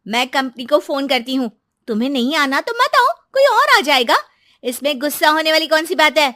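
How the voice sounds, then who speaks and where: A woman voicing a young daughter-in-law in a family drama, dutiful, brisk and assertive. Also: sits high, very animated, sharp tone, voice pushed up, exaggerated voice. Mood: indignant.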